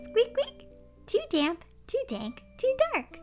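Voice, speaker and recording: A woman voicing a tiny, timid but kind mouse with a squeaky voice for a children's storybook. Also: wildly swooping pitch, takes it slowly, soft background music, exaggerated voice.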